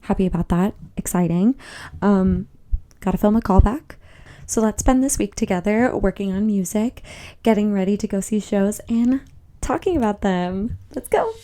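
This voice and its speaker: A woman's voice: medium pitch, normal speed, mellow. A young woman vlogging in a casual, upbeat, chatty way, thinking aloud, her voice a little tired. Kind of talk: casual vlog chat. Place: walking outdoors on a loud city street.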